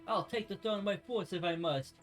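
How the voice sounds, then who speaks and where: Imperious young noblewoman, played by a man, high pitched, melodramatic and defiant game dialogue, music behind. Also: moderate pace, fairly bright tone. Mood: defiant, commanding. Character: imperious young noblewoman vowing to seize her throne. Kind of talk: amateur video game dialogue read-aloud.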